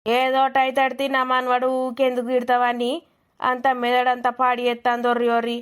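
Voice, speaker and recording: Woman playing a smooth-voiced, doting old Telangana grandmother. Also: a little high, monotone, normal speed, lightly acted. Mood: defensive. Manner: boastful, defensive. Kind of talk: cartoon village comedy acting.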